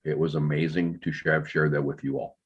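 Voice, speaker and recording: Very deep, husky man, muffled recording. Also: level tone, brisk. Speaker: table read participant. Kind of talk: halting heartfelt thanks. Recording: dull, muffled lo-fi microphone.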